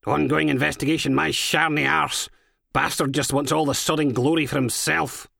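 A man's voice: on the high side, fairly even intonation, moderate pace, creaky, pushed and bright, breathy edge, no room sound, studio quality, voice pushed up, full character voice. A man voicing a foul-mouthed, cynical female police inspector with a Scottish accent, scornful and impatient, in an audiobook. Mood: indignant. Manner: sweary, sardonic, uses Scottish slang.

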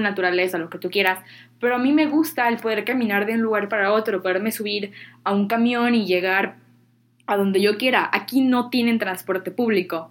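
Chatty Mexican teen girl vlogging. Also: mid-range voice. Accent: Mexican Spanish. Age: teens. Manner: chatty, candid, self-deprecating, uses Mexican slang. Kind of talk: casual talk to camera.

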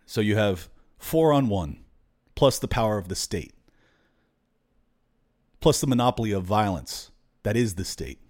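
A man with a somewhat raspy voice talks to his listeners as host of his own show. He rambles loosely from point to point, filling the gaps with ums and quick restarts. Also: lowish voice, expressive, darkish tone. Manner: rambling, freewheeling rant with fillers. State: slightly raspy voice. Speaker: podcast host. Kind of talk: casual political monologue.

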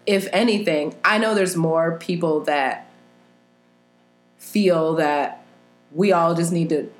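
A woman's voice: lowish voice, takes it slowly, soft background music. A woman talking earnestly and thoughtfully as a guest in a casual conversation, laughing easily. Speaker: podcast guest. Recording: roomy echo.

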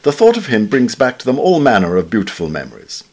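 A British man reading a scripted literary essay in a formal, measured, wryly understated way. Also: medium pitch, huge pitch swings, darkish tone. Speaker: reader of newspaper essays.

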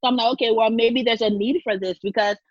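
A woman's voice: on the high side, steady tone, fast talker, no echo. A talkative young woman from Liberia, telling her story conversationally as an interview guest. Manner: talkative, laughs easily. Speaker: interview guest, culture and fashion blogger. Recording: dull, lo-fi dry audio.

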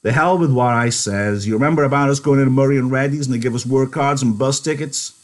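A man voicing a small, angry ranch hand from a novel, scolding in rough rural slang. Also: medium pitch, fast, pitched above the performer's own voice, natural voice. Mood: exasperated scolding. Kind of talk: dramatised novel reading.

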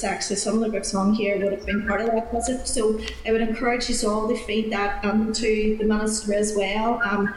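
A woman with a Northern Irish accent, deputy chairperson earnestly putting questions to a committee hearing. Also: mid-range voice. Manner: earnest, repeats words while searching. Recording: echoey room with faint noise.

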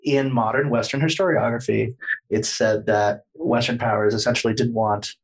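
Man, podcast guest, slightly husky voice, lecturing, hedging, filler-heavy. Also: mid-range voice, fairly even intonation, normal speed, rough recording. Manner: lecturing, hedges often, many fillers. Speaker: podcast guest explaining history. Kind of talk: casual podcast explanation.

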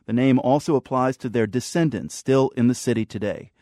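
A man's voice: medium pitch, fairly quick, mellow, dry recording, studio quality. A man presenting a radio news program from a script.

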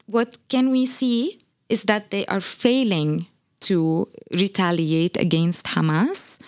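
A woman from Palestine speaks forcefully in an interview, pressing her points with rhetorical questions. Her voice sits on the low side and sounds dry and close, with no room around it. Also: no echo. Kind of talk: impassioned interview answer.

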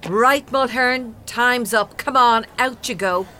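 A woman's voice: a little high, slow pace, pushed and bright, a little hiss or hum. A woman playing a mocking, assured undercover fraud-squad detective in an audio drama. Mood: commanding.

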